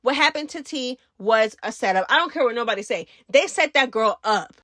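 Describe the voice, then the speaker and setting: A woman's voice: on the high side, lively intonation, a little fast, a bit bright, dry recording, clean studio sound. A woman giving casual gossip commentary as a channel host, speaking in a sassy, emphatic, slangy way. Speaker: host of a reality TV recap channel.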